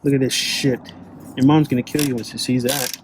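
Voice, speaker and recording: Casual man over loud music. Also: medium pitch, little pitch movement, fairly quick. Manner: informal, crude swearing. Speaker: host of an off-road vehicle install channel. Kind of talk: casual hands-on chat while working. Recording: music playing behind the voice.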